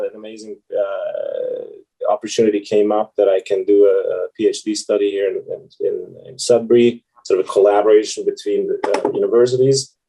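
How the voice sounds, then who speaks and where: A man, answering interview questions in a halting way with many restarts. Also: fairly low pitch, gravelly, warm tone, slightly rough, low-quality recording. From Hungary. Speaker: guest, VP of exploration.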